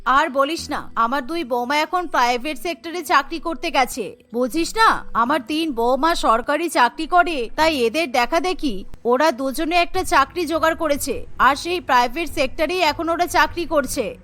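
A woman's voice: high-pitched, normal speed, bright, forceful tone, voice pushed down. A woman voicing an opinionated, biased older mother-in-law in a cartoon, speaking emphatically. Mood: complaining.

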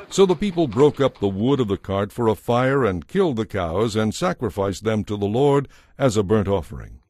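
Guy narrating an audio bible, scripted read, lowish voice, super dry studio sound. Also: a little mellow, dry recording.

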